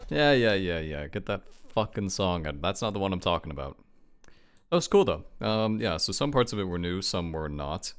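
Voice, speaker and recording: A deep-voiced man reacts to game news in a loose, informal, rambling way. His pitch moves around in an animated fashion. Music plays clearly behind his commentary. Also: moderate pace.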